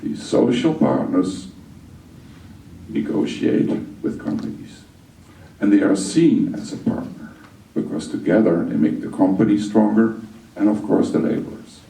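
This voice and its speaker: A man with a Dutch accent explains his point in a measured way, his low voice gravelly and very dark. He sits at a distance from the mic in a roomy meeting hall, with a light hum of background noise. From Netherlands. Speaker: audience member. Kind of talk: meeting discussion.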